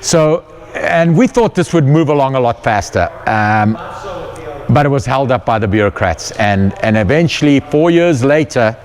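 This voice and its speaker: A man's voice: medium pitch, darkish tone. A South African man, whose first language is not English, leads a casual, enthusiastic tour of his car workshop and often repeats words as he searches for them. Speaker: company founder giving a shop tour. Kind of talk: casual guided tour talk.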